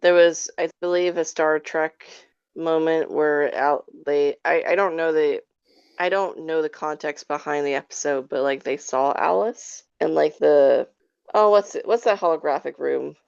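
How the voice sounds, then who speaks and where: A woman's voice: deep voice, unhurried, creaky, a little mellow, smooth, no room sound. A woman chatting casually in a podcast discussion, speaking in a tentative, hedging way. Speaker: podcast panelist. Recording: slightly dull, lo-fi audio.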